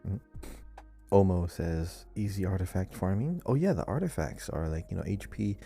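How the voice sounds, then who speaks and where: A man's voice: low-pitched, animated, normal speed, vocal fry, mellow, soft background music. A man co-hosting a casual gaming podcast, chatting and reading listener messages with chatty asides. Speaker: podcast co-host.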